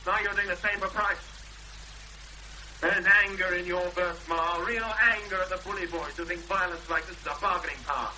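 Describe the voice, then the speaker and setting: An actor playing an angry, impatient fiancé, clipped and accusing, in a 1970s radio drama. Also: male voice, high-pitched, pushed and bright. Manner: clipped, accusing, pressing with blunt questions. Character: forceful, angry young fiancé confronting a victim, impatient and accusing. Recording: faintly noisy, humming old radio broadcast.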